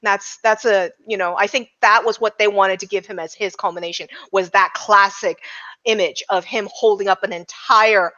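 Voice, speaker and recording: A woman's voice: medium pitch, steady tone, moderate pace, sharp tone. A woman speaking as a podcast panelist, discussing film casually in an opinionated, emphatic way. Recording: dull lo-fi audio.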